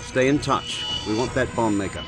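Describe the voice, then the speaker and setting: Brisk intelligence officer, played by a man. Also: mid-range voice, expressive, lightly acted, low-quality recording. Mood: businesslike. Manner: matter-of-fact, crisp reporting. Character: brisk, efficient male intelligence chief of staff. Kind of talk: video game cinematic voice acting. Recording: loud music behind.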